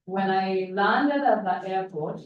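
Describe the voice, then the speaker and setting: A woman's voice: mid-range voice, takes it slowly. Ugandan woman speaking in an echoey hall. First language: Luganda. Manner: warm storytelling, restarts phrases. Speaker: panel speaker. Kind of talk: personal storytelling speech. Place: large hall at a conference. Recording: echoey hall from far off, dull.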